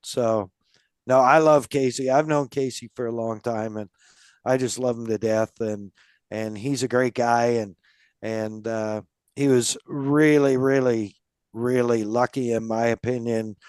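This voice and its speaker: A male podcast host talks in a loose, rambling way and keeps circling back with "um" and "you know." His voice is smooth and resonant. The recording is close and dry, with no room sound. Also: medium pitch, fairly even intonation, unhurried, darkish tone, no room sound. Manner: rambling, many fillers and restarts. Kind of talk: casual interview chat.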